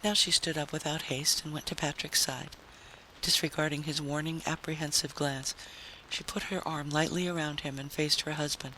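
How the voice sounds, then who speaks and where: A man's voice: fairly high pitch, fairly even intonation, normal speed, bright, forceful tone. A man narrating a story in a dramatic, scripted vintage radio style. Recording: noisy vintage radio recording.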